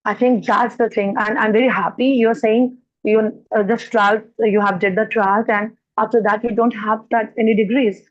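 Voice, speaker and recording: A woman's voice: a little high, steady tone, normal speed, hardly any pauses. A woman hosting an English-practice chat, talking in a loose, encouraging way with frequent murmured "hmm"s. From India. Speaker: host, English mentor. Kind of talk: casual interview over video call. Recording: dull lo-fi video call audio.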